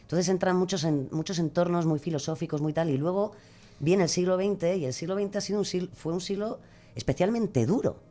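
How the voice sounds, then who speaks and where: A woman's voice: on the low side, brisk, dark tone. A woman musing hesitantly and philosophically as a podcast guest. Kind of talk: conversational interview answers.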